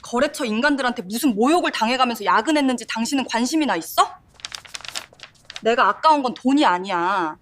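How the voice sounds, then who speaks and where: A cold, resolute wife, voiced by a woman in an audio drama. Her voice sits fairly high and swings widely, giving firm, clipped replies with a cutting edge. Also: expressive, fairly quick, fairly bright tone, slight background noise. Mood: bitter.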